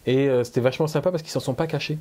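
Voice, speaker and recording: A man's voice: medium pitch, rapid-fire, mellow. A middle-aged man from France with a French accent touched by Québécois, talking as an interview guest with many fillers and restarts. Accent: French, slightly Québécois-tinged. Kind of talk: conversational interview.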